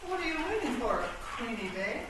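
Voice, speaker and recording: A woman's voice: on the high side, very animated, brisk, distant mic. A woman playing a goading, domineering old chess king in a comic radio play. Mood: taunting, domineering. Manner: goading, imperious. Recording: echoey, noisy stage recording from afar.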